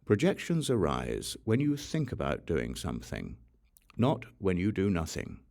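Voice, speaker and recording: A man narrating an audiobook of scripture, reading from a script in a measured, solemn way with deliberate pauses. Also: low voice, wildly swooping pitch, creaky, darkish tone. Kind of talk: scripted reading of a Buddhist sutra.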